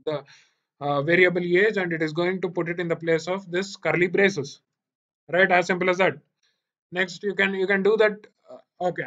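Man, Indian accent, high-pitched voice, programming teacher, live online class, repetitive, questioning, dull lo-fi call audio. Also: fairly bright tone. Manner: repeats himself, checks understanding often.

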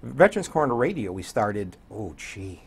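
A man's voice: on the low side, big pitch swings, moderate pace, vocal fry, slightly rough. A man in his sixties chatting as an interview guest, telling stories in a rambling, conversational way. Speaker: radio program director, guest. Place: small TV studio, indoors.

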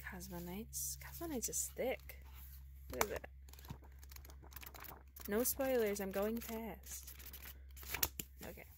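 A woman speaking softly and often whispering in a relaxed ASMR girlfriend roleplay, recorded indoors among bookshelves on a handheld phone. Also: medium pitch, slow, pauses often, background noise. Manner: soft-spoken, drifting into whispers.

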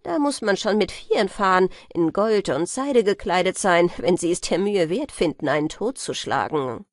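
A woman reading an audiobook, putting on a lower voice for a cheerful, unbothered male journeyman smith who teases his fearful companion. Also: normal speed, no room sound, clean studio sound, lightly acted. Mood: teasing. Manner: calm, mocking, reassuring, lively intonation. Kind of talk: audiobook character voice.

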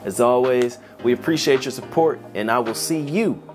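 A man's voice: mid-range voice, moderate pace. A man hosting a comedy video to camera on a lapel mic in a studio, speaking in a playful, conversational way. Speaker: host. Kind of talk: scripted to-camera presenting. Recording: voice with light music underneath.

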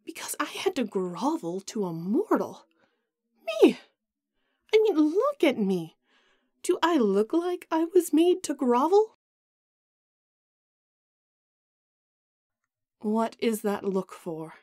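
A woman's voice: a little high, big pitch swings, takes it slowly, warm tone, no echo, studio quality, full character voice. A woman playing a vain, haughty goddess in an audio roleplay drama, melodramatic and put-upon with a whiny edge. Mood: indignant.

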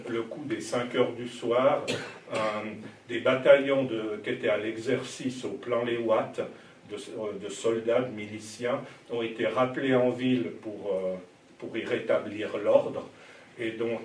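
A middle-aged man giving a history lecture at a lectern in a hall, telling the story a little haltingly. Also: medium pitch, a little mellow. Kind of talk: public history lecture, semi-scripted storytelling. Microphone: gooseneck lectern microphone, some distance from mouth. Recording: echoey hall, distant mic, faint noise.

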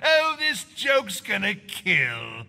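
A man's voice: sits high, huge pitch swings, takes it slowly, creaky, bright, forceful tone, in a large hall, heavily acted. A man playing a gleefully menacing, maniacal clown villain in a video game. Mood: gleeful menace.

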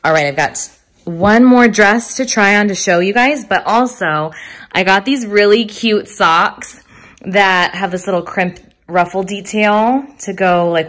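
A woman's voice: lowish voice, a bit bright. A woman in her thirties chatting casually and enthusiastically as a vlogger, recorded indoors at home on a handheld phone. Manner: chatty, informal, upbeat enthusiasm. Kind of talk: casual vlog chat, clothing try-on commentary. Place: furnished rooms at home, indoors. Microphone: phone held at arm's length.

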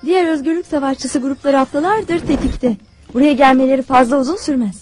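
An actress playing a commanding young squirrel princess in a cartoon, measured and decisive. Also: female voice, sits high, a little mellow, faint music behind, close to an everyday voice. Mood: serious, focused. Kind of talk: cartoon dub acting.

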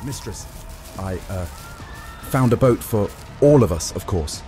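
Audio drama role: male actor as sarcastic vampire coward, dark low voice, snide and theatrical. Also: expressive, unhurried, faint music behind. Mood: sheepish. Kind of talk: audio drama roleplay acting.

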